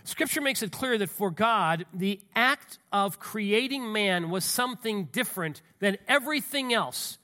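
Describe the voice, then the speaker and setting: A man's voice: high voice, animated, a little slow, hardly any pauses, bright, forceful tone. A man with a stuffy nose from a cold, preaching a sermon in a folksy, conversational way, with asides and restarts, reading scripture aloud with rising emphasis. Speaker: pastor.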